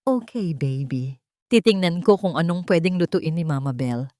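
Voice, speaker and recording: A woman's voice: lowish voice, lively intonation, moderate pace, mellow, no room sound, studio quality, close to an everyday voice. Woman voicing a weary, caring young mother figure. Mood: gentle, weary. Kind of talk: audio storybook dialogue.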